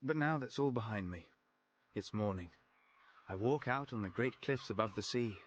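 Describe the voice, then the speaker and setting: An actor playing a distressed man who narrates his own story slowly and theatrically in a radio drama. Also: lowish voice, expressive, highs cut off. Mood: wistful, dreamy. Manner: slow, theatrical, dramatic first-person telling. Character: distressed man narrating a remembered dream and grim discovery.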